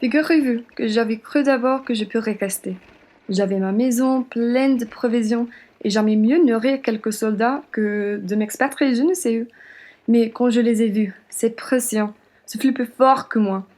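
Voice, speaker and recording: A young woman acts a spirited French patriot woman in a radio play. Her voice sits on the high side, and she speaks plainly, with a generous but fiery temper. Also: normal speed, darkish tone, natural voice. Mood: indignant, proud. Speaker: student actor.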